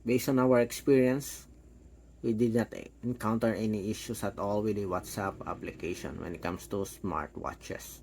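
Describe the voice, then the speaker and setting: A man giving a casual, hands-on product demo, talking step by step in short, halting phrases. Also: fairly low pitch, steady tone, creaky, darkish tone, slight background noise. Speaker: product reviewer.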